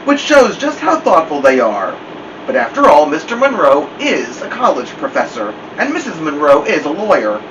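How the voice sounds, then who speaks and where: Through a muffled, noisy, distant recording comes a dignified old dog telling a storybook tale, as read by a man. The voice is on the high side and moves in lively swings, wry and fond of digressing. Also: normal speed. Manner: wry, digressive, formal wording. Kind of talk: first-person storybook narration.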